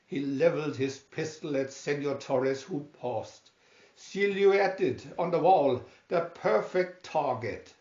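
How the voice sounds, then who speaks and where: A man reading a storybook as dramatic narration, deliberate and theatrical, in a dull, roomy recording. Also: fairly high pitch, darkish tone.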